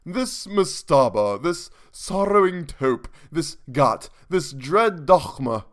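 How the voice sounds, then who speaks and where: A man's voice: fairly high pitch, lively intonation, takes it slowly, voice pushed up. A man voicing a haughty old vampire count who declaims a florid eulogy. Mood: pompous.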